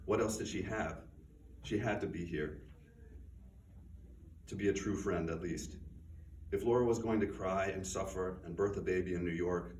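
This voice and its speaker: A man, an author, reading his own fiction aloud at a bookstore event in a measured, subdued way. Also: low-pitched, darkish tone. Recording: roomy, distant, faint noise.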